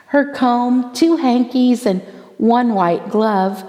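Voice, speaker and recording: A woman with a fairly high voice reads a rhyming storybook to children, taking her time over each phrase. Her delivery is theatrical, with deliberate emphasis on key words. A long reverb trails behind her voice. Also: warm tone. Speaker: pastor reading a children's story aloud. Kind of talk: expressive storybook read-aloud in rhyming verse.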